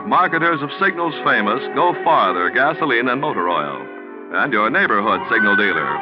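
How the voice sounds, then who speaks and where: American male announcer, forties radio style, polished and emphatic, very lively inflection, muffled vintage sound. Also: medium pitch. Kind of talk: 1940s radio commercial and announcing. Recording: muffled 1940s radio recording, faint music.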